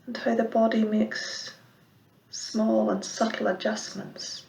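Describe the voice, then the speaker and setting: A woman giving guided meditation instruction as a mindfulness teacher, speaking in a measured, gentle way with pauses and soft, breathy phrase endings. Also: fairly high pitch, flat delivery. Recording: roomy, echoing sound.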